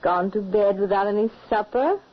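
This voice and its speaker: A woman's voice: medium pitch, moderate pace, lightly acted. An actress playing a mysterious, silky young noblewoman with a coaxing, theatrical delivery in an old-time radio horror drama. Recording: muffled old radio recording.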